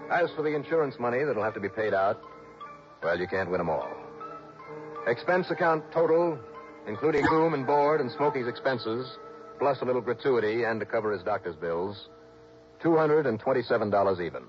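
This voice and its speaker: An old, muffled radio recording with music behind, where a man plays a hard-boiled investigator. His voice is mid-pitched, and he talks in a steady, wry, no-nonsense way. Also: moderate pace, lightly acted. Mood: wry, businesslike. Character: tough, wry, steady male insurance investigator. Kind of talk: 1960s radio drama acting and narration.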